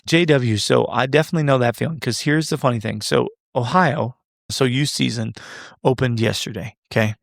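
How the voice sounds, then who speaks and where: A man's voice: mid-range voice, moderate pace, creaky, no room sound, studio quality. A man in his thirties hosting a solo podcast, chatting casually and joking back to live comments. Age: 30s. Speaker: podcast host.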